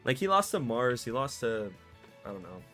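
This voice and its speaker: Excitable slangy man, slightly scratchy. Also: mid-range voice, moderate pace, faint music behind. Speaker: streamer. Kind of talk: casual live-stream chat. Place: warm home room at his computer.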